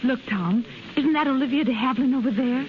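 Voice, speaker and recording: An actress playing a gushing young movie fan in the acting style of a 1930s radio advertisement. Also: female voice, sits high, fast, natural voice. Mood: admiring, excited. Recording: muffled, hissy 1930s radio broadcast recording.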